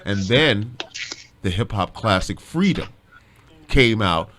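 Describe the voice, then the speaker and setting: A man's voice: lowish voice, expressive, takes it slowly, fairly bright tone, slight background noise. A middle-aged or older man from the Bronx, casually explaining things in an interview. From the Bronx, New York.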